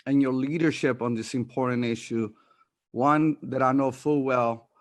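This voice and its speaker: A man's voice: mid-range voice, steady tone, normal speed, no echo. A man from the Bronx chairing a city council committee and reading a formal opening statement on a remote video hearing, with occasional restarts. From New York City, Bronx. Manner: reads a prepared speech with occasional restarts. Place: remote hearing from home or office. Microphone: computer mic on video call.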